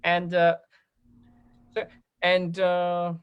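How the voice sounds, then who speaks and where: A man hosting a casual online talk-back, speaking in a friendly, encouraging way with halting starts and frequent fillers. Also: sits high, monotone, smooth, dull audio. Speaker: host and online programming director of a theater company. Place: online video call.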